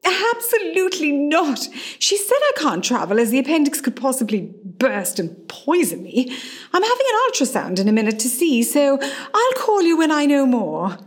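A woman's voice: high-pitched, big pitch swings, normal speed. A woman acting in a radio play as a witty, self-possessed famous actress in her forties who is a hospital patient. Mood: breezy, reassuring. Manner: wry, dry, theatrical and affectionate.

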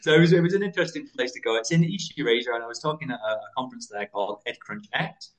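A British-raised man, likely in his thirties, a school founder talking quickly as a podcast guest, with lively pitch and frequent restarts. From United Kingdom. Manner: fast, animated, many restarts. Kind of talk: interview conversation.

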